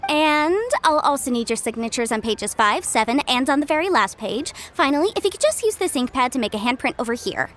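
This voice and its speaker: A woman's voice: high-pitched, lively intonation, fairly quick, hardly any pauses, a bit bright. A young woman voicing a legal adviser character in a game cutscene, speaking in a composed, precise, businesslike way. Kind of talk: video game cutscene voice acting. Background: faint game music.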